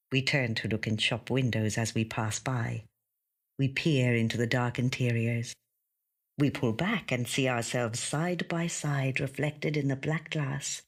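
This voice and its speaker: A woman reading a first-person literary short story in a measured, gentle storytelling manner. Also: a bass voice, gravelly, breathy edge. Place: audio studio.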